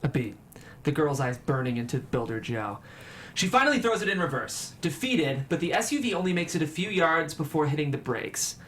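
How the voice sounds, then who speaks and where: Man, higher-pitched voice, table-read host and narrator, presentational delivery, faint background noise. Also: sing-song, brisk, fairly bright tone. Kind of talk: show presenting and scripted stage-direction narration.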